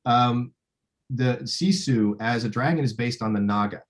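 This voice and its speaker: A man speaking as a film director in a casual interview, thinking aloud in a halting way. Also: medium pitch.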